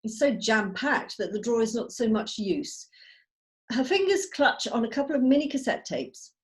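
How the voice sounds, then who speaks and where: A woman reading out screenplay stage directions as measured, storytelling narration. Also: on the high side, big pitch swings. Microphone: video call.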